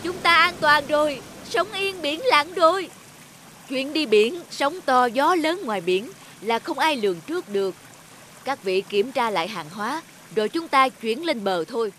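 A woman playing a weathered old boatman in a cartoon fable, loudly giving orders. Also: high-pitched, animated, normal speed, slight background noise. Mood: relieved.

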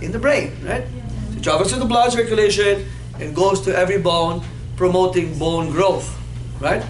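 High-voiced guy in his 50s teaching anatomy, playful, echoey classroom, far off camera. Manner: animated, playful, asks the class questions. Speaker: anatomy instructor. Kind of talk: classroom lecture with call-and-response. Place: classroom in front of a whiteboard. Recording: echoey classroom with background noise.

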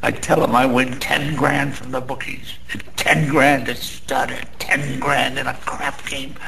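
Washed-up american gambler in a radio play, slow slangy talk, bitter and sentimental, bit of noise. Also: male voice, mid-range voice, level tone, vocal fry, bright, forceful tone, low-quality recording. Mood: bitter, mournful. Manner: slangy, rambling, world-weary. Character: down-on-his-luck, bragging male small-time gambler, sentimental and bitter. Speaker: actor. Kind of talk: radio drama monologue. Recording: faint background noise.

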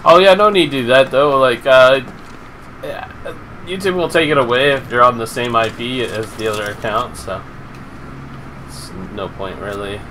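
Male game streamer, thirties, informal and profane chat-and-play commentary, ordinary mid pitch, noticeably noisy audio. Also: moderate pace, a bit bright. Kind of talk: casual livestream gaming chat and commentary. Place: indoors at a home streaming setup. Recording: noisy stream with clear background noise.

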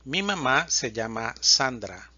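A man teaching a Spanish lesson, reading example questions and answers slowly and distinctly. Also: medium pitch, very animated, sharp tone, breathy edge, no room sound, highs cut off. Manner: reads questions and answers slowly and distinctly, pausing between lines. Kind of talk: slow, clear language lesson reading example dialogues.

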